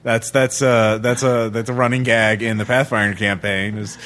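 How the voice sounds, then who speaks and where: A man's voice: on the low side, flat delivery, normal speed, a bit bright. A man hosting a podcast discussion, speaking informally and often correcting himself mid-thought. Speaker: podcast host. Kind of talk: casual discussion.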